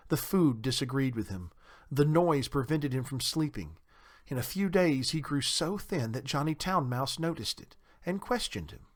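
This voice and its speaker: Expressive male storyteller, very lively pitch. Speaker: audiobook narrator. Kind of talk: scripted children's story narration.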